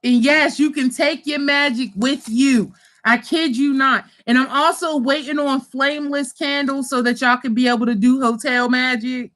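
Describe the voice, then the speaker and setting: A woman from Pittsburgh hosting a casual livestream about her spiritual kits, animated and chatty, swearing freely and calling her viewers y'all. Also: high voice, moderate pace. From Pittsburgh, USA. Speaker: spiritual kit seller and channel host.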